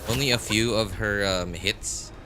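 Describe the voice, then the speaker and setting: A man's voice: on the low side, steady tone, unhurried, noisy background. A man casually talking to viewers while live streaming a game, thinking aloud and often repeating himself.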